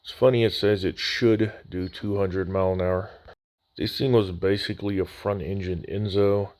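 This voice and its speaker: Man, very low voice, dead dry recording, casual hobby voice-over, dry jokes, slightly worn voice. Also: steady tone, moderate pace, a little mellow, no room sound. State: recovering from near voice loss. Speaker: scale model builder. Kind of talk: voice-over unboxing walkthrough.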